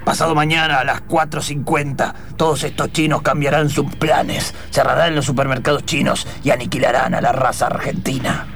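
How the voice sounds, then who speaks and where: A man's voice: mid-range voice, steady tone, normal speed, vocal fry, hardly any pauses, fairly bright tone. A man playing a formal, polite German scientist long settled in Argentina, explaining things in improvised radio theatre. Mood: grave, explanatory. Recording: guitar music behind the voice.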